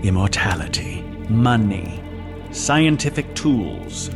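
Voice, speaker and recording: An actor playing a calm, commanding envoy making a persuasive offer in a sci-fi audio drama. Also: male voice, on the low side. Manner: persuasive, grave, measured. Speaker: envoy of an immortal society. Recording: music bed under the voice.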